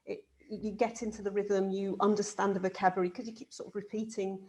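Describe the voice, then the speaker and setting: A woman's voice: mid-range voice, flat delivery, fast, darkish tone. A woman interviewer asking a writer about her craft over a video call, hesitant with many fillers and repeated words. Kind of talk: interview questions.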